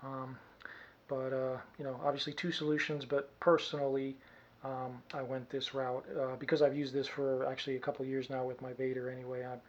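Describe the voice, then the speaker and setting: Monotone man giving a casual gear demo. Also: medium pitch, a little fast. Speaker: costume hobbyist demonstrating gear. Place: small room indoors. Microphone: camera mic from a short distance. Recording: dull camera mic in small room.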